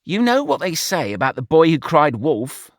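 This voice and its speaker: A man's voice: a little high, very animated, normal speed, no room sound, pitched above the performer's own voice. A man voicing a dry, curt male pig financier who is fussy about germs.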